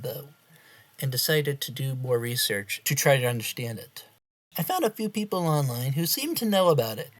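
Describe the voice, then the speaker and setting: A man's voice: a little high, fairly bright tone. A man reading a scripted first-person horror story aloud as its narrator.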